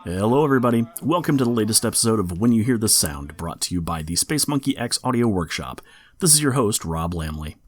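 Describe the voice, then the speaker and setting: A man's voice: fairly low pitch, expressive, fast, soft background music. A man hosting a podcast in scripted, informative narration. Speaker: podcast host.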